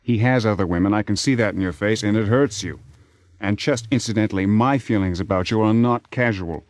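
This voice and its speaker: An actor in a radio drama playing a persistent, impatient theater man, smooth-talking and sardonic as he presses his case. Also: lowish voice, a little fast, a little mellow, no room sound, close to an everyday voice. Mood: insistent. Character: persistent, persuasive theater man seducing a married woman, impatient. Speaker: lead actor.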